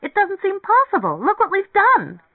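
A narrator voicing an eager, headstrong, impulsive young woman in an audiobook, emphatic and excitable. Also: medium pitch, fairly quick, dry recording, voice pushed up, lightly acted. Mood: excited. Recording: thin, phone-like band-limited audio.

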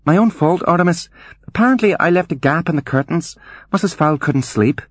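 Male narrator doing a teenage girl servant, raised pitch, sullen, apologetic, lively storybook delivery. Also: a little fast, husky, no room sound. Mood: sheepish.

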